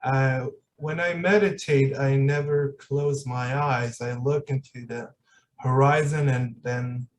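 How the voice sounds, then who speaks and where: Slow, hesitant man with faint background noise. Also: mid-range voice, steady tone, far from the mic, poor audio. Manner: hesitant, searching for words. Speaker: podcast host. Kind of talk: casual reflective conversation.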